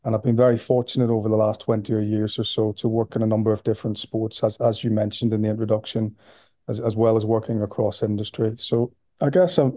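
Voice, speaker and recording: Dark-voiced Northern Irish man, flat delivery. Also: lowish voice, no room sound, clean studio sound. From Belfast, Northern Ireland. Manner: relaxed, steady, with frequent "um" and repeated words. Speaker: guest, sports nutrition professor. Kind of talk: podcast interview.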